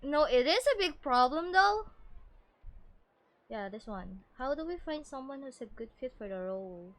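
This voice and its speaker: A woman's voice: sits high, very animated, moderate pace. A woman streamer giving casual game commentary, blunt and slangy, reacting as she plays.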